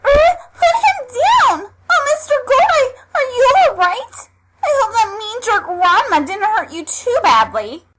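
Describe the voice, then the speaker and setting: A woman's voice: extremely high pitch, very animated, a little slow, heavy background noise, exaggerated voice. A woman playing a kind but easily indignant teenage girl in an amateur anime audio drama, exasperated yet polite. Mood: alarmed, concerned.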